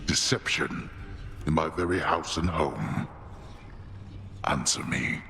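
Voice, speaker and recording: Deep, imperious god of the dead. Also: male voice, slow pace, vocal fry, pushed and bright, exaggerated voice. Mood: stern, accusing. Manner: formal, commanding, weighty. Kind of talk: video game dialogue.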